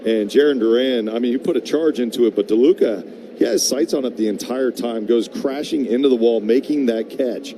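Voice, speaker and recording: A man's voice: medium pitch, normal speed, mellow. A man giving conversational, explanatory color commentary on a live baseball radio broadcast. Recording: faint background noise.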